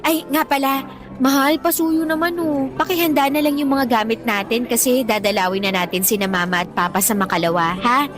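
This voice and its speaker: Woman playing a sweet motherly ex-nanny in a radio drama, high voice, teasing and emotional, soft music under. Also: a little fast, lightly acted. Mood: casual, affectionate. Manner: emotional, tender, playfully scolding. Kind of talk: Tagalog radio drama acting.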